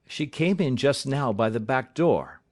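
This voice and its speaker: A man's voice: mid-range voice, lively intonation, unhurried. Man reading as a worried young woman. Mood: concerned. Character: anxious young woman, dutiful niece.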